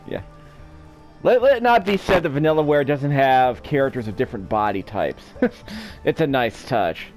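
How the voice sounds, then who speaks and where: A man's voice: medium pitch, expressive, normal speed, a little mellow, faint music behind. A man giving casual, offhand game commentary, wryly thinking aloud as he plays. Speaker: let's play commentator.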